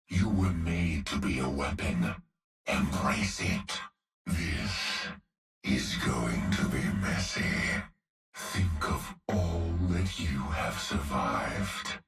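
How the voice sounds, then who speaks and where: An actor voicing a vengeful, menacing male cyborg executioner with a gravelly voice, delivering slow, grim threats. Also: rumbling low, a bit bright, full character voice. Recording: game clip, roomy, heard from a distance with faint music.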